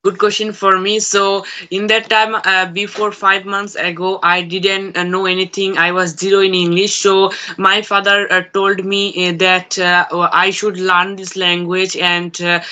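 A man's voice: high-pitched, completely flat delivery, measured pace, hardly any pauses, pushed and bright. A teenage boy whose first language is Bangla, practicing English conversation on a video call from home, hesitant with many fillers. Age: teens. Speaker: student learner. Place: room at home. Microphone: phone on a video call.

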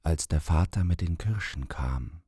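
A man reading a literary short story aloud in slow, measured narration, with a husky voice. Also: low-pitched, animated, mellow, no room sound, clean studio sound. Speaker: audiobook reader.

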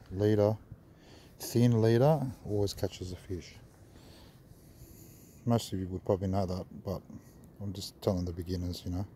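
Laid-back Australian man, low voice. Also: slight background noise. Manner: relaxed, rambling, swears casually. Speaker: fishing vlogger. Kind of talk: casual fishing tips vlog. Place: harbour wharf outdoors at night. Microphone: phone camera held close.

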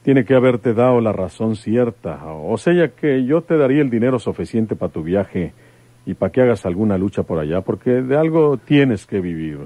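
A man's voice: lowish voice, lively intonation, moderate pace, dark tone, no room sound. An actor with a Mexican accent playing a stern, probing rural detective and father in a radio drama, with rustic, insistent speech. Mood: grave, probing. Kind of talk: old-time radio drama acting.

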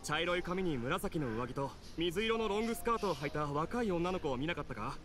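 A man's voice: on the high side, expressive, brisk, a bit bright, faint music behind. A man playing a brusque, commanding guard in a Japanese anime game. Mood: brusque, urgent. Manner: curt, commanding. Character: brusque guard hunting a runaway young woman.

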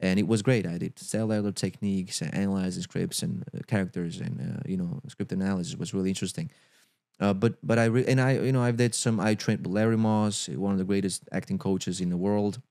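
A man in his thirties from Belgium, a native Dutch speaker with a Belgian accent, talking haltingly as a guest in a podcast studio. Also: low-pitched, steady tone, vocal fry, darkish tone, no echo. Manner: halting, many restarts and "you know"s. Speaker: actor guest. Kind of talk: casual interview chat. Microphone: studio mic with headphones.

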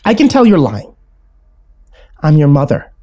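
Bitter mother played by a male narrator, raised pitch, accusing and scornful, for a dark story. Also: huge pitch swings, a little slow, heavily acted. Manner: scornful, insistent.

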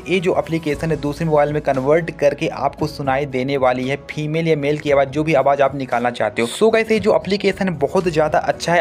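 A man's voice: a little high, a little mellow. A young man, narrating an app tutorial as a gaming channel host, instructing viewers directly. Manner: repeatedly addresses viewers, instructional. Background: music behind the voice.

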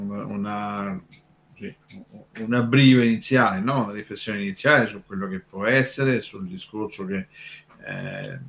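A man's voice: on the low side, a bit bright, slight background noise. A man explaining conversationally on a radio show, discursive and hesitant, repeating words as he goes. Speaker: radio show co-host and commentator.

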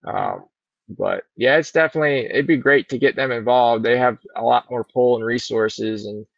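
Gravelly man on a muffled video call. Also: mid-range voice, sing-song, moderate pace, no echo. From Virginia. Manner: easygoing, rambling storyteller. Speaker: fishing guide. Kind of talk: casual podcast interview. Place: large indoor boat showroom. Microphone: laptop webcam on a video call.

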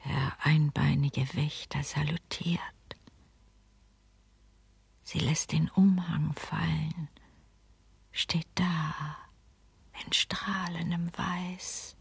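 An actress playing a grave, intense storyteller in a radio play, hushed and dramatic, with a husky, creaky voice. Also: female voice, low voice, steady tone, drawn-out words, long pauses, no room sound, full character voice.

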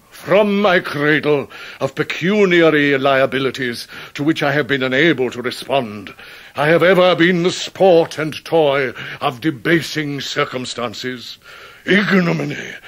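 An actor playing a pompous, indignant, debt-ridden clerk in a radio drama, with grandiloquent, theatrical oratory. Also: male voice, a little high, a bit bright, heavily acted. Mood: grandly denouncing. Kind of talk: 1990s radio drama acting.

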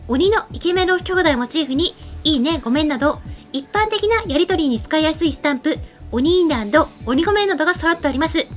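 Fast-talking woman with a high-pitched voice. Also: expressive. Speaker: show co-host. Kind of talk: casual show chat and scripted announcements. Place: small indoor studio set. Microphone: desk microphone. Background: background music.

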